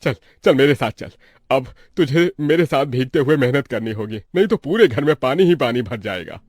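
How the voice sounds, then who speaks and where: A man playing a poor, worried labourer father who frets and gives orders in an animated moral story. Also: mid-range voice, normal speed, no room sound, studio quality. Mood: scolding, urging. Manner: fretful, exhorting. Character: Devesh, poor worried labourer father, bossy but caring.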